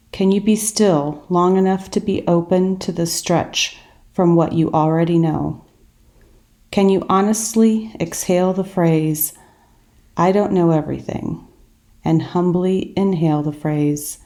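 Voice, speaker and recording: Slow, mellow woman leading meditation. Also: on the low side. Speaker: guided meditation narrator. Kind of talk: scripted reflective meditation narration.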